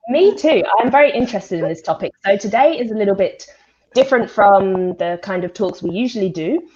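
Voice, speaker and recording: A woman's voice: mid-range voice, lively intonation, brisk, a little mellow. A woman hosting an informal live online panel in a chatty, conversational way over a video call. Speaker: host, volunteer science event presenter. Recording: dull, lo-fi video call audio.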